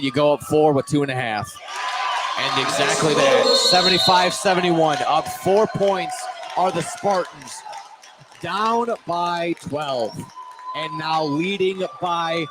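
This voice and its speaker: Male basketball play-by-play announcer, pitched a bit high, halting restarts, live from a noisy gym. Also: a crowd in the background. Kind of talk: live radio basketball commentary. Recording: loud gym noise behind. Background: loud game ambience.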